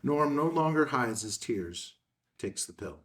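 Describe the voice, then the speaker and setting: A man's voice: medium pitch, expressive, a little slow, fairly bright tone, distant mic. A man reading screenplay stage directions as a scripted narrator.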